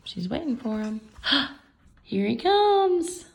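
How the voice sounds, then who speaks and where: Woman reading to children, slightly creaky voice. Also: sits high, slow. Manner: expressive, explaining pictures to children. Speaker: story reader for children. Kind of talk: picture book read-aloud with simple commentary.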